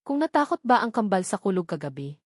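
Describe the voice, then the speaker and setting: A woman's voice: medium pitch, big pitch swings, fast, dry recording, studio quality. A woman with a Filipino accent reading a scripted, dramatic romance story as its narrator.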